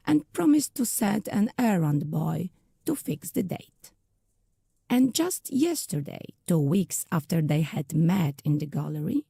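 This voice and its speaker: A woman reads scripted period fiction as a narrator. Her low-set voice is very breathy and husky, full of creak, with a measured storytelling delivery. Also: warm tone, no echo, studio quality.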